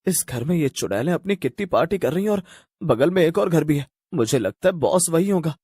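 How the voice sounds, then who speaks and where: A man's voice: on the high side, animated, brisk, mellow, slightly rough, no echo, studio quality. A man voicing an earnest, worried young husband in a cartoon horror story. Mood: urgent planning.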